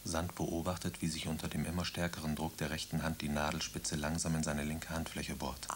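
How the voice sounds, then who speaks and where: A man calmly reading out the stage directions of a radio drama, matter-of-fact. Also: rumbling low, brisk, heavy background noise. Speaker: narrator.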